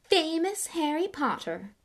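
A woman's voice: high voice, sing-song, a little slow, voice pushed up, heavily acted. A woman voicing a spiteful schoolboy wizard, with a drawling, sneering delivery. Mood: mocking.

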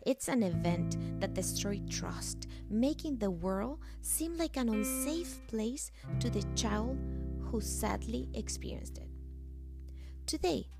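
A woman hosting a podcast narrates the opening slowly and earnestly. Her voice sits a bit high with a gravelly edge. Clear guitar music plays behind her. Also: expressive. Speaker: podcast host. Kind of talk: scripted podcast intro narration. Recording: intro spoken over guitar music.

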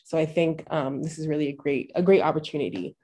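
A woman with a low, mellow voice sounds a bit congested as she co-hosts a casual interview. She talks in a loose, supportive and chatty way. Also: quick pace. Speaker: podcast co-host.

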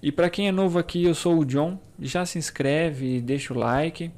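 A man's voice: fairly high pitch, moderate pace, a little mellow. A young Brazilian man hosting his own channel, explaining things casually with lots of fillers and asides. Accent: Brazilian Portuguese. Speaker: YouTube channel host.

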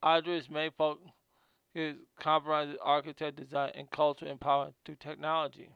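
A man's voice: fairly high pitch, completely flat delivery, moderate pace, gravelly, clean tone, dry recording, lo-fi sound. A man hosting a casual video, reading from notes about a guest and often stumbling and repeating words.

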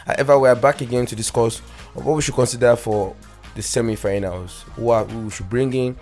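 A Nigerian man hosting a casual solo fantasy football podcast, rambling with lots of fillers and restarts, his voice still deep from the morning. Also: steady tone, brisk, darkish tone, soft background music. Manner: rambling, many fillers and self-corrections. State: morning voice, deeper than usual. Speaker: podcast host.

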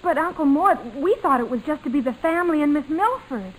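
Sweet young niece, high lilting voice, old radio comedy acting. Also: female voice, expressive, brisk, poor audio. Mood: eager, disappointed.

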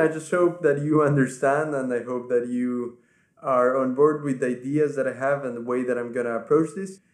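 A man in his twenties from Ecuador, a native Spanish speaker, hosting his podcast and talking earnestly without a script, softly and with many restarts. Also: medium pitch. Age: 20s. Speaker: podcast host. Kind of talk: unscripted personal talk to camera. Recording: echoey room.

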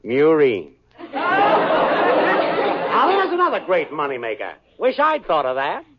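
A man's voice: a little high, wildly swooping pitch, measured pace, a loud crowd, heavy background noise. An actor playing a wisecracking small-time hustler boyfriend in a 1940s radio sitcom, full of brash salesman patter. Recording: dull old radio broadcast, audience laughter.

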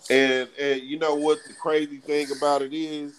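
A man's voice: on the high side, moderate pace, clear, resonant tone, rough recording. Hyped, slangy male podcast co-host. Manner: hyped, slangy, repeats words when excited. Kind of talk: casual podcast chat.

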